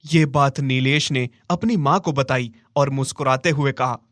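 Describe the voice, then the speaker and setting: A man's voice: medium pitch, unhurried, a bit bright, no echo, clean studio sound. A man narrating a scripted moral story as a storyteller.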